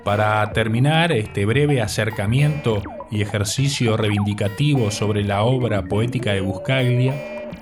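A Uruguayan man presents a scripted radio segment in a mid-range voice. He sounds set back from the microphone, with clear music playing behind him. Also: mid-range voice, distant mic.

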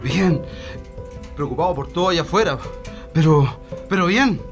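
A slightly high-voiced young Chilean political prisoner in a radio play. He speaks in a subdued, earnest way, worried yet steadfast, with music clearly behind him. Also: expressive, moderate pace. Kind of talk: radio drama acting.

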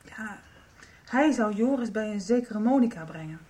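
An actress playing a hesitant, earnest young woman in a radio soap, speaking haltingly. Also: mid-range voice, animated, mellow, recorded from a distance, natural voice. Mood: hesitant, worried. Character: hesitant, earnest young girl pleading for answers.

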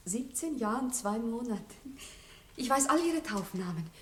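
A woman's voice: fairly high pitch, very animated, a little slow, a little hiss or hum. An actress playing a naive, proud young Viennese bride-to-be in a radio play, girlish and earnest. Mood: eager, coy. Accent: Viennese Austrian. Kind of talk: 1960s radio play acting. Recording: old roomy radio studio recording.

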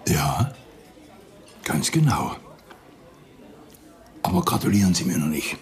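A man's voice: low-pitched, very animated, takes it slowly, full character voice. An actor playing a smug, unctuous senior policeman in a radio drama, jovial and condescending. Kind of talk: naturalistic German radio crime drama acting.

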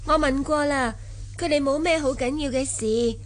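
A woman's voice: high-pitched, normal speed, natural voice. A woman playing a deferential, efficient young maid of a rich household in a Cantonese radio drama. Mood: dutiful.

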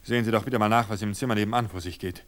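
A man voicing a tender young man murmuring softly to his beloved in a radio drama. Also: on the low side, fairly even intonation, racing, dry recording, lightly acted. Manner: soft, murmuring.

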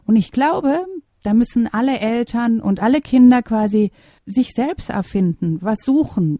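A middle-aged woman and mother, speaking as an interview guest and teacher, giving thoughtful, measured answers with small hesitations. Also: mid-range voice, warm tone, dry recording, studio quality.

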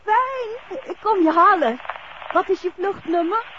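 A high-voiced, well-to-do wife, fond and playful in vintage radio drama style. The recording is dull with faint noise. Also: female voice, lively intonation, a little slow, pushed and bright, full character voice. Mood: eager, delighted. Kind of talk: 1960s radio drama acting.

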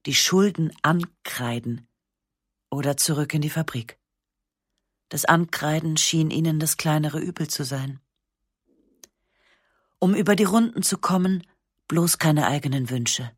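A woman narrates a memoir for radio in a calm, measured way, her voice slightly husky. The studio sound is close and dry, with no room around it, and her pauses are slow and matter-of-fact. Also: low-pitched, no echo. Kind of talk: literary first-person narration, radio reading.

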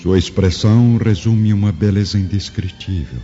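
An actor playing the narrator of a radio drama, solemn, deliberate and literary in his scripted storytelling. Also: lowish voice, dark tone, close to an everyday voice. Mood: solemn, reverent. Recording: dull lo-fi recording, faint music behind. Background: faint music.

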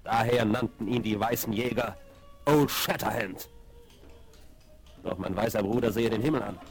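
Man playing a solemn, gravelly warrior chief. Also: fairly low pitch, normal speed, natural voice. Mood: grave, admiring. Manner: solemn, ceremonious, inverted word order. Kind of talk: 1970s German radio play acting. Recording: vinyl rip of 1970s radio play.

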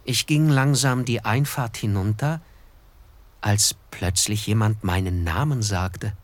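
An actor playing a reflective, observant first-person narrator in a radio drama, measured, sober and quietly disillusioned. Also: male voice, on the low side. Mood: wry, weary.

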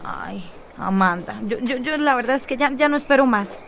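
An actress with a Costa Rican accent playing a worried young daughter in a radio soap opera, hesitant and stammering. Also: female voice, high-pitched, lively intonation, normal speed, vocal fry, fairly bright tone, a little hiss or hum, full character voice.

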